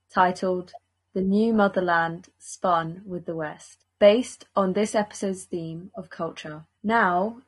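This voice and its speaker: A woman's voice: on the low side, slightly rough. A young woman hosting a podcast, presenting steadily from a script. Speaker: podcast host.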